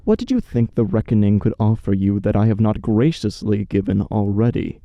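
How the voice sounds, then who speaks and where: A man playing a cold, grandiose villain who rules a dream realm, speaking with measured menace in an audio drama. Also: lowish voice, animated, dry recording, muffled recording. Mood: cold disdain.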